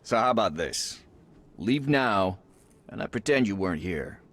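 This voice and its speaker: Game gang leader: deep voice, resigned, unruffled, quietly menacing. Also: male voice, big pitch swings, unhurried. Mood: wary menace. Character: world-weary male gang leader, quietly threatening. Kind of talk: video game cutscene acting.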